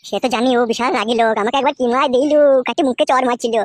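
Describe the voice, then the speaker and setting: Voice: mid-range voice, steady tone, very fast, no echo, natural voice. A performer voicing an anxious, cowardly village man in a comic cartoon, whiny, fretful and crude. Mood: panicked. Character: anxious, cowardly male village lover, whining and cursing.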